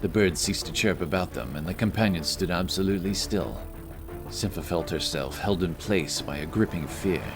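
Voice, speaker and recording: A man's voice: sits low, moderate pace, music in the background, poor audio. A man reading scripted, dramatic narration for a fantasy adventure story.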